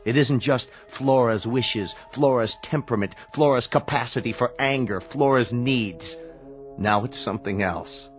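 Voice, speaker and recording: An actor in a radio drama playing a stern, sardonic medical researcher who speaks in a measured, commanding way. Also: male voice, mid-range voice, soft background music, natural voice.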